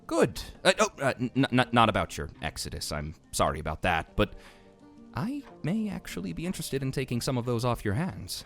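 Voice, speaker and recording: A man's voice: mid-range voice, very animated, fairly quick, a bit bright, faint music behind. A man playing a secretive, dry, affable innkeeper in a fantasy audio drama, with a hesitant, wry manner. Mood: awkward. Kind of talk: fantasy podcast acting.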